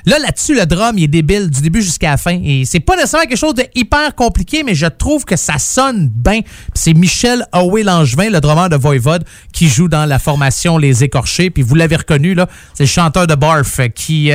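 High-voiced Québécois man hosting casually. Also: animated, fairly quick, no echo. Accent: Québécois French. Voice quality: youthful, high-pitched voice. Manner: informal, self-deprecating, enthusiastic. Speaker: music radio show or podcast host. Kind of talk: casual chat about music.

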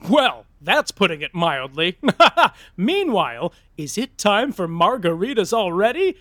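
Male narrator, high-pitched, very animated, campy, gossipy, playful scripted delivery. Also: unhurried, no echo, clean studio sound.

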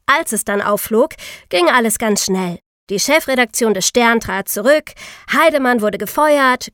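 A woman's voice: medium pitch, dry recording, close to an everyday voice. An actress as a young female true-crime explainer, dry sound. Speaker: podcast co-host telling true-crime history. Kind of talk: scripted conversational narration.

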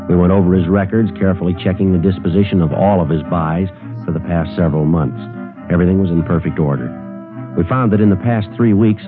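An American man playing a terse, methodical police sergeant in a 1950s radio drama, narrating and questioning in a clipped, deadpan way. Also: low-pitched, phone-quality sound, natural voice. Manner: deadpan, matter-of-fact. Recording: old band-limited radio broadcast, music behind.

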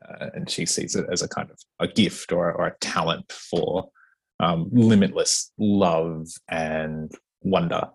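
A man hosting a casual interview, chatty and relaxed, with lots of fillers. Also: low-pitched, measured pace, gravelly, breathy edge. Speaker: podcast host interviewing an author.

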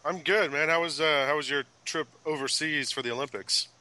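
A man's voice: on the high side, fairly even intonation, a little fast, sharp tone, rough recording. A man co-hosting a sports podcast, asking easygoing questions in casual chat, sprinkled with "like". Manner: easygoing, asks questions with many "like"s. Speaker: podcast co-host.

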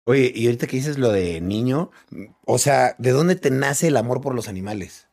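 A man's voice: medium pitch, expressive, moderate pace, vocal fry, darkish tone. Friendly male podcast host at home. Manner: friendly, encouraging interjections. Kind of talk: casual interview chat. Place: his home.

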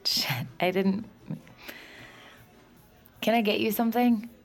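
A woman's voice: mid-range voice, takes it slowly, vocal fry, faint music behind. An actress playing a guarded young woman bartender in recovery, speaking with dry, self-aware narration. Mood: friendly. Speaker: narrator and lead. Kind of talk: audio drama acting with inner narration.

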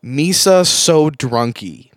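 Man doing a slow, drunken goofy alien creature. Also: a little high, animated, no room sound, clean studio sound. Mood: tipsy, goofy.

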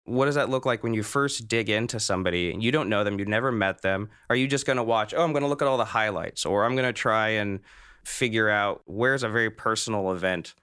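A man's voice: on the low side, fast, sharp tone, smooth. A man hosting a casual interview, talking in a discursive way and rephrasing his questions as he goes. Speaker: podcast host, interviewer.

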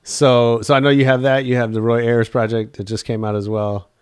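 A man's voice: medium pitch, little pitch movement, brisk, darkish tone, clear, resonant tone, no echo, studio quality. A man hosting an interview, friendly and encouraging, asking long meandering questions. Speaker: interview host. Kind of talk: casual interview talk.

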